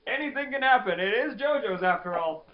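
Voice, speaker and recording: Man, swooping animated delivery, casual podcast banter, dull recording with faint noise. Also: high voice, a little fast. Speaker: podcast panelist, fandub crew member. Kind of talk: casual group podcast chat.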